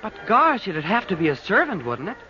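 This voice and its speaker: A man's voice: high-pitched, wildly swooping pitch, brisk. An actor playing a brash, impatient American teenage boy with a boyish, blurting manner in an old radio drama. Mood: alarmed. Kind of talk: 1940s radio acting. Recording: dull old radio recording with music.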